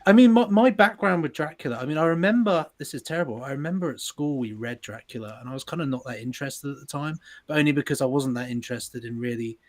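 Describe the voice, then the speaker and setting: A man's voice: medium pitch, quick pace, hardly any pauses. A man, a sound designer chatting casually on a livestream, self-deprecating and full of restarts. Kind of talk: casual chat.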